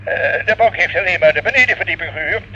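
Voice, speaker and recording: A man's voice: sits high, animated, fast talker, bright, forceful tone, slightly rough, heavily acted. An actor in a radio play voicing a polite, hesitant businessman answering questions. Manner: hesitant, courteous. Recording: muffled telephone voice in old radio play.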